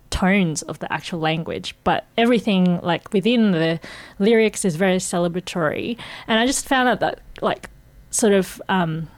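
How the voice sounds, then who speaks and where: A woman's voice: fairly low pitch, no room sound. A woman, a musician interviewed on radio, speaking thoughtfully with hesitant fillers. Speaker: artist, musician, guest.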